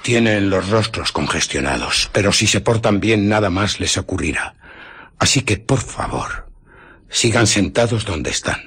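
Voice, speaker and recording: A man's voice: fairly low pitch, animated, measured pace, a bit bright, slightly rough, exaggerated voice. An actor playing a menacing invisible man in a radio drama, cold, commanding and mockingly polite. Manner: threatening, commanding, mock-polite.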